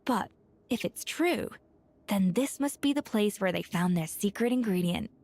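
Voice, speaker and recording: An actress playing a curious chef who muses over clues in the ruins. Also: female voice, medium pitch, animated, moderate pace, a little mellow. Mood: musing. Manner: thoughtful, wondering.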